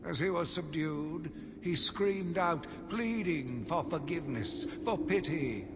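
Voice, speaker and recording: An actor playing an embittered, zealous asylum doctor who gives a solemn, theatrical monologue for a video game audio log. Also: male voice, a little high, expressive. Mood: bitter. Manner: solemn, measured, brooding, theatrical. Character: embittered male asylum doctor, grief-stricken, zealous, slowly turning vengeful. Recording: long reverberant echo, loud background noise.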